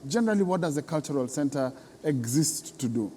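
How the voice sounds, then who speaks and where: Kenyan man, interviewer, mellow tone, conversational, frequent affirmations, background noise in a hall. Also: fairly high pitch, expressive, fairly quick. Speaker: interviewer, host. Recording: long reverberant hall, clear background noise.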